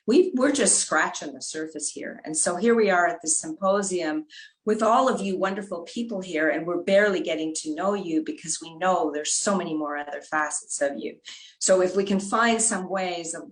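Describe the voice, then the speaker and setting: A woman with a fairly low voice talks earnestly on a panel. She reflects as she goes and strings her thoughts into long, flowing sentences. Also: rough recording. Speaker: panel speaker.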